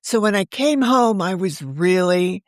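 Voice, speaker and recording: A woman's voice: medium pitch, expressive, a little slow, dry recording, studio quality. A woman in her sixties, a novelist guest, talking earnestly in a rambling, casual way with frequent restarts. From United States. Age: 60s. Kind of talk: casual interview conversation.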